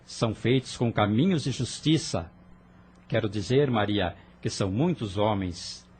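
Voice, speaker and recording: A man's voice: on the low side, animated, measured pace, a little mellow, breathy edge. A man playing an earnest, idealistic young rebel who argues passionately with his sister in an audio drama. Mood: persuasive. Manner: fervent, persuasive, earnest.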